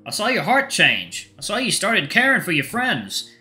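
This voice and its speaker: A man voicing a caring male coach who gently encourages his student. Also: sits high, huge pitch swings, moderate pace, voice pushed up, full character voice. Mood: tender, proud. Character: caring male coach, warm proud mentor. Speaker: trainer of the narrator. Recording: soft music behind.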